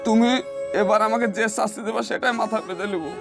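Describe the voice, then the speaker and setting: A man's voice: high-pitched, quick pace, vocal fry, over music. A man playing a hard-pressed, henpecked husband in an amateur family drama, in a plaintive, colloquial manner. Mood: resigned, pleading. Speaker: actor.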